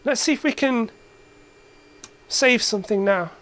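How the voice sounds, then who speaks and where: A man's voice: very high voice, measured pace, a bit bright. A man giving a casual, hands-on demonstration as an electronics hobbyist in his workshop. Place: cluttered indoor electronics workshop.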